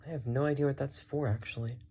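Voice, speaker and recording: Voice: mid-range voice, steady tone, fast, very noisy. A person narrating casually while working hands-on, musing and thinking aloud. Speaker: radio collector presenting a thrift find.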